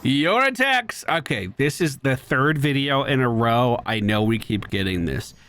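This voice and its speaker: A man's voice: mid-range voice, animated, measured pace, a bit bright. A man hosting a gaming video, chatting and thinking aloud analytically as he plays. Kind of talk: gameplay commentary. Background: faint game music.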